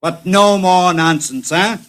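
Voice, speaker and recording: An actor playing a curt, condescending American bureau chief with little patience, in 1950s radio drama style. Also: male voice, high-pitched, slow pace, a bit bright, no room sound. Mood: curt, patronizing.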